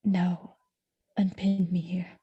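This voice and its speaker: A woman playing a loyal, innocent, distressed noble wife in a Shakespearean audio drama, speaking in an earnest, pleading, measured way. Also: on the low side, level tone, dark tone, husky, muffled recording, heavily acted. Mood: sad resignation.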